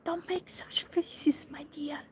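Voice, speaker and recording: Curious young girl in a storybook, whispered high and soft, read by a woman. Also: steady tone, takes it slowly. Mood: amused. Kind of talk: ASMR storybook reading.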